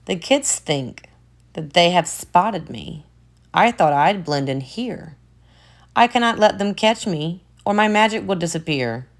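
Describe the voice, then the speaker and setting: Slow, expressive teacher reading a picture book. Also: female voice, on the low side, gravelly. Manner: expressive, drops to hushed whispered asides. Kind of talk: children's book read-aloud.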